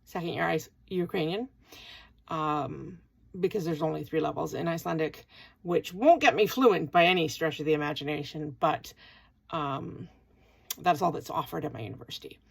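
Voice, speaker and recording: A woman's voice: low voice, moderate pace, vocal fry, slightly rough. A woman in her forties from Canada, talking casually to camera in a rambling vlog with plenty of ums and restarts. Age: 40s. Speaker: vlogger, part-time university student.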